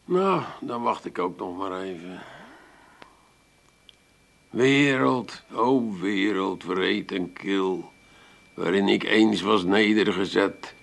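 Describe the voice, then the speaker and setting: A man's voice: low-pitched, slow pace, gravelly, long pauses, a little hiss or hum, heavily acted. An actor playing a gloomy, self-pitying older man with an Amsterdam accent in a radio play, dry and theatrical. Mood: morose.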